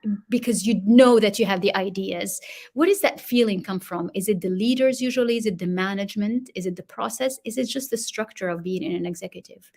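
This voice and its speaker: A woman's voice: mid-range voice, brisk, darkish tone. A woman hosting a live online interview, effusive and welcoming, talking with frequent restarts. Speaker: host.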